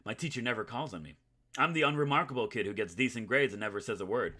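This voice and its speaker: A man's voice: medium pitch, expressive, fast, sharp tone. A man hosting a gaming video, reading a visual novel aloud like a storyteller and dropping in casual, jokey commentary. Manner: jokey asides, dramatic storytelling read. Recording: music playing behind the voice.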